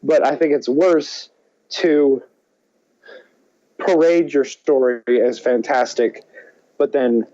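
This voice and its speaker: A man's voice: mid-range voice, fairly even intonation, slow pace, long pauses, mellow, no room sound, muffled recording. A man co-hosting a podcast in casual chat, rambling and opinionated as he goes. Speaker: podcast co-host.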